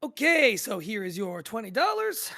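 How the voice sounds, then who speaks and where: A woman's voice: on the high side, sing-song, moderate pace, pushed and bright, exaggerated voice. A woman playing a friendly, easily amused drive-thru worker, chatting casually in an audio drama table read. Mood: helpful.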